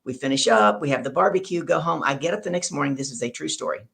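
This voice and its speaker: A woman's voice: deep voice, fast, creaky, fairly bright tone, breathy edge. A woman hosting an online show, chatty and upbeat while telling stories. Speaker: host. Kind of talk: casual chat and storytelling.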